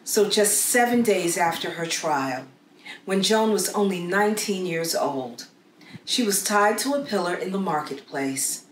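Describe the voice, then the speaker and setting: A woman narrates a children's storybook in a slightly low, very animated voice. She places her emphasis deliberately and dramatically. The room is echoey, with noticeable noise behind her. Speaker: storybook read-aloud narrator. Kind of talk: scripted narration, children's read-aloud story. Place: small plain room, indoors. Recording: roomy echo with clear background noise.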